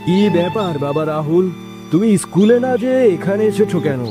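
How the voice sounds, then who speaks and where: Man acting a fatherly village uncle, highish voice. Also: expressive, normal speed, over music. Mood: surprised, concerned. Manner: gentle, firm, fatherly. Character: kind, protective village farmer uncle. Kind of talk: children's cartoon voice acting.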